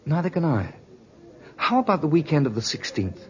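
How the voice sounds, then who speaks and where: A man's voice: on the high side, very animated, fairly quick. An actor playing a glib, scheming ladies' man in a 1950s radio drama, with a suave, coaxing manner. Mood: flirtatious. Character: smooth-talking two-timing male lover, glib and scheming. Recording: dull 1950s radio recording.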